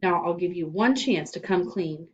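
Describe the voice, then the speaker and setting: A woman plays a stern storybook school principal, a man laying down the law. He is loud, emphatic and threatening, on a slightly muffled recording. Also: on the low side, moderate pace, slight background noise, natural voice.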